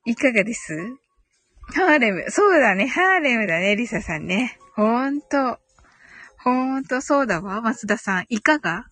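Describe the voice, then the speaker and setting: A woman's voice: fairly high pitch, sing-song, moderate pace, a bit bright. A woman hosting a casual live audio chat, reading listener comments aloud and responding with polite, agreeable backchannels. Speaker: live audio stream host. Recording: background music behind voice. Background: music.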